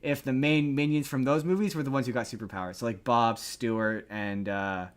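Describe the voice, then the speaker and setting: A man hosting a podcast, chatting casually through entertainment news in a rambling way with animated asides. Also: mid-range voice, normal speed, clear, resonant tone. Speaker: podcast host.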